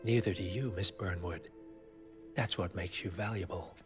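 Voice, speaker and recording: An actor playing a smooth, menacing middle-aged power broker in a game cutscene, speaking in a measured, formal, insinuating way with a breathy, hushed voice. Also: male voice, sits low, natural voice. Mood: smooth persuasion. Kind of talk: cinematic game cutscene acting. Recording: loud music under the voice.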